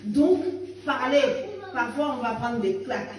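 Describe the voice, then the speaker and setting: A woman's voice: fairly high pitch, a little slow, slight background noise. Fervent woman in her fifties, echoey church. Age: 50s. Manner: fervent, exhorting, with rising emphatic bursts. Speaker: church member giving testimony. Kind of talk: testimony and exhortation in church. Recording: echoey church hall picked up from a distance.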